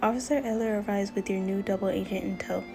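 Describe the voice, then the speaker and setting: A woman narrating a video game story in a measured, scripted style. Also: mid-range voice, steady tone, lightly acted. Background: music.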